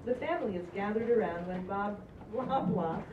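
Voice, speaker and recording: A woman's voice: medium pitch, moderate pace. A woman reading out stage directions at a live script reading. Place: small stage in a room. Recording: distant, echoey, dull recording with clear noise.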